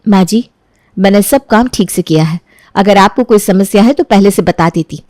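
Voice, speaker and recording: A young daughter-in-law, voiced by a woman storyteller, speaks with restraint and resolve. Her mid-pitched voice is polite yet defiant, recorded dry and close for a cartoon family tale. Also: darkish tone, dry recording, lightly acted. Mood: firm, defiant. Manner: firm, measured, polite but defiant.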